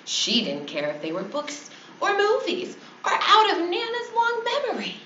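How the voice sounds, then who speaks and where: A woman's voice: extremely high pitch, wildly swooping pitch, unhurried, pushed and bright. A woman reading a children's story aloud as a teacher would to her class. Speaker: fourth grade teacher. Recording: distant, echoey, dull, noisy recording.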